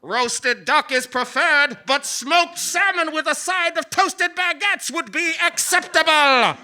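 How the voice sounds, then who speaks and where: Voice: high-pitched, slow, bright, forceful tone, heavily acted. A performer playing a pompous, indignant royal tax collector in a comic stage audio play, proclaiming with theatrical haughtiness. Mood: pompous, commanding. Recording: live theatre stage recording.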